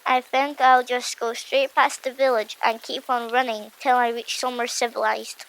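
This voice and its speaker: A woman's voice: a little high, flat delivery, moderate pace, creaky, a bit bright, poor audio. A woman voicing a gloomy, sarcastic young Viking boy who complains bitterly in an audiobook drama. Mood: weary, resigned. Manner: bitter, weary, miserable complaining. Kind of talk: audiobook drama character acting.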